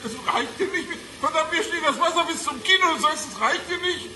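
Radio crime drama: trapped sarcastic captive, piercing high voice, agitated, echoey room. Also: male voice, lively intonation, fairly quick, sharp tone, exaggerated voice. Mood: exasperated. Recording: echoey room with faint noise.

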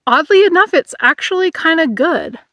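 A woman's voice: very high-pitched, animated, moderate pace, bright, forceful tone, no room sound, studio quality. A woman in her thirties reviewing camping gear in a casual vlog, musing aloud while she eats, recorded outdoors in a meadow.

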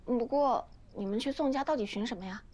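A young actress playing a lively, scheming peasant girl in a period drama, playful and coy in her teasing. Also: fairly high pitch, a little fast, lightly acted. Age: young adult. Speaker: lead actress. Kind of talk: television period drama acting. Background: music score.

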